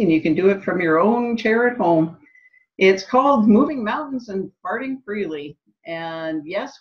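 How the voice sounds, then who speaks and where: Enthusiastic lady podcast host chatting, kinda dull lo-fi sound. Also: mid-range voice. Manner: upbeat, enthusiastic introductions. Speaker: podcast host and life coach. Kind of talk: casual podcast interview. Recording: slightly dull lo-fi audio.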